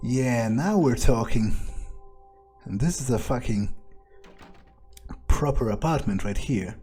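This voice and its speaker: A man's voice: mid-range voice, animated, takes it slowly, dark tone, faint music behind. A man voicing a cynical, suspicious vampire in an in-character game roleplay, musing hesitantly and swearing freely. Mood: dry, musing. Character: cynical, suspicious, foul-mouthed young male vampire, thinking aloud.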